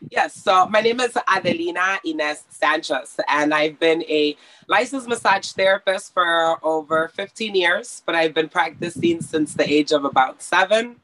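A woman's voice: lowish voice, normal speed, few pauses, fairly bright tone, slight background noise, poor audio. A woman who grew up in Mexico and went to school in Texas, a massage therapist chatting casually in an interview, laughing often and restarting sentences.

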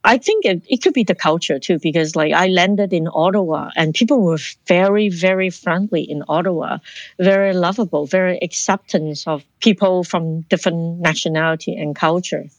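Earnest, reflective woman guest, low voice. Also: moderate pace, dry recording. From Hong Kong. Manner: earnest and reflective, with frequent restarts. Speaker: interview guest. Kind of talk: personal life story in conversation.

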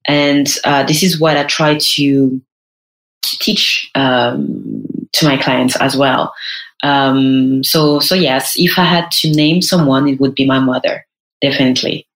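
A woman's voice: rumbling low, fairly even intonation, takes it slowly, echoey room, poor audio. A woman in her thirties, a stylist talking in an interview, hesitant and full of fillers and restarts. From France. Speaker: guest, personal brand stylist. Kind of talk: casual interview chat.

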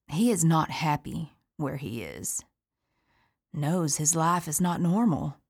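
A woman's voice: low-pitched, a little mellow, heavily acted. An actress playing an imprisoned mother who worries about her children, weary and resentful in an audio play monologue. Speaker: incarcerated mother.

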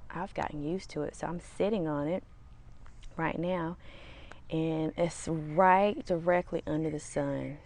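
A woman hosting her own podcast, talking casually outdoors with a small, slightly raspy voice and a relaxed, rambling way of speaking. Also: low-pitched. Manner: relaxed, rambling, chatty with fillers. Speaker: podcast host. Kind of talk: casual solo podcast talk. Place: outdoors in the sun.

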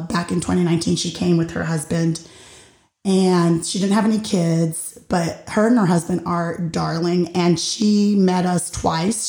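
Deep, slightly raspy woman host. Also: darkish tone. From Puerto Rican and Dominican heritage. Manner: slow, heartfelt, searching for words. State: recovering from losing her voice. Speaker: podcast host. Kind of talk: reflective personal monologue. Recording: roomy echo.

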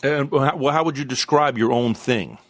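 An effusive man hosts an interview in a conversational style. His voice has an average pitch and sits in a very dry, close sound with little room around it. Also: moderate pace, fairly bright tone, no room sound. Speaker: interview host.

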